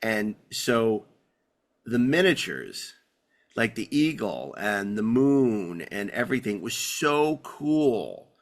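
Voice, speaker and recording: A man's voice: lowish voice, very animated, slow pace. A middle-aged American man hosting a podcast, chatting casually and enthusiastically in a rambling way. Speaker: podcast host. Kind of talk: casual enthusiastic fan chat.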